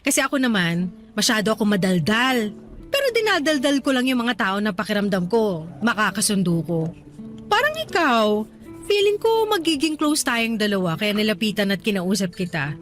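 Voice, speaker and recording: An actress playing a talkative, gossipy young office worker in a radio drama, friendly and confiding. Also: female voice, mid-range voice, very animated, normal speed, faint music behind, natural voice.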